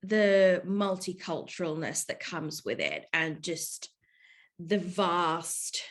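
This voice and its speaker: A woman's voice: fairly low pitch, fairly even intonation, slow pace. Thoughtful young London-born woman. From England, London. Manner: thoughtful, hesitant, many fillers, laughs often. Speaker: podcast guest, yoga teacher. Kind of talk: casual interview chat.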